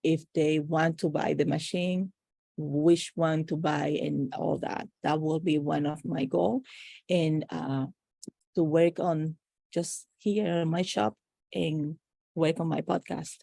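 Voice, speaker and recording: A middle-aged woman with a Dominican Spanish accent, a native Spanish speaker answering casually and haltingly as a podcast guest. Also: low-pitched, fairly even intonation, gravelly, mellow, no room sound. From Dominican Republic.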